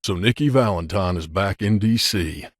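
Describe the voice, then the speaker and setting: A man's voice: low-pitched, moderate pace, dry recording, studio quality. A man playing a middle-aged mercenary bodyguard in a video game, a blunt and guarded loner who talks in a terse, matter-of-fact, aloof way. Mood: businesslike, dry. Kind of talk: video game character dialogue.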